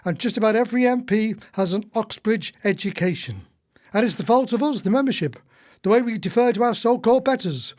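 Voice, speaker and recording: A man's voice: high voice, animated, brisk, darkish tone. A man acting in a radio play as a retired husband, an indignant, long-winded, disillusioned old socialist. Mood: indignant, exasperated. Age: older.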